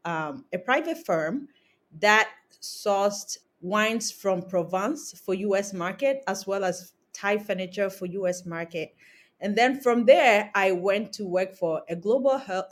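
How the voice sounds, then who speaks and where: A woman in her thirties from Ghana, a guest on a remote podcast interview, telling her career story in a measured way with frequent ums. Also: medium pitch. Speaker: guest, cosmetics founder. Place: home in Accra, remote call. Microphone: remote call recording.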